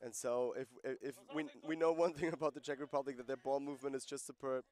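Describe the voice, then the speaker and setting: A man's voice: mid-range voice, steady tone, quick pace, a little mellow. A man giving live play-by-play commentary on a lacrosse game, conversational and explaining rules and player backgrounds between plays.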